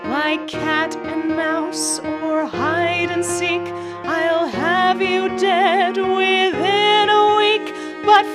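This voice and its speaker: Musical villainess: very high, unhurried, grand and deadly, jazz diva singing over prominent backing music. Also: female voice, flat delivery, heavily acted. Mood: gleefully menacing. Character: theatrical wicked female villain, a vain, murderous diva. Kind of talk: jazzy musical theatre singing. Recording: loud musical accompaniment.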